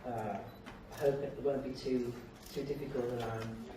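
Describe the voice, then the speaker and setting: Older male speaker, Australian accent, conversational lecture in short halting phrases, distant mic in a noisy, reverberant hall. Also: mid-range voice, steady tone. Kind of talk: informal public talk.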